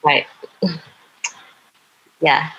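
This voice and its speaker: A young woman from Newcastle upon Tyne, a poet talking as a podcast guest in a hesitant way, with many pauses and fillers. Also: sharp tone, muffled recording. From Newcastle upon Tyne, England. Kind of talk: casual interview chat.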